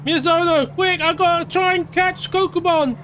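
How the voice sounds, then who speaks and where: A man from Portugal streaming a racing game live, shouting and crying out during close racing moments, then chatting calmly with viewers. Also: extremely high pitch, normal speed, soft background music. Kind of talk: live gameplay commentary and chat reading.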